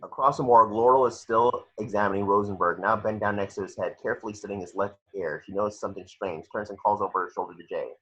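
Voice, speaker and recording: A man's voice: fairly low pitch, steady tone, fast, hardly any pauses, a bit bright. A man reading scripted action descriptions as narrator for a table read, on a muffled home recording.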